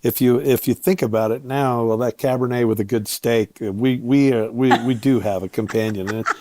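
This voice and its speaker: A man explaining things as an interview guest, telling stories in a relaxed, measured, folksy way. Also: mid-range voice, dry recording. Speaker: guest, vineyard owner and grape grower.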